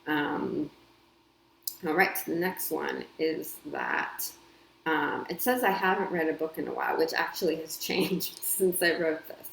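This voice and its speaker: Woman, thirties, low pitch, echoey room; casual vlog chat, relaxed, filler-heavy. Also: unhurried, gravelly, slight background noise. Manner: chatty, frequent ums and small laughs. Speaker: copywriter and editor. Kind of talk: casual talk to camera. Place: home. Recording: echoey room with faint ambience.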